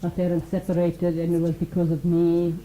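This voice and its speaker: A woman's voice: lowish voice, monotone, a little fast, dark tone, roomy sound, low-quality recording. A woman who teaches mindfulness and talks earnestly on a radio show, explaining ideas through everyday examples and acted-out quotes. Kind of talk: conversational radio talk.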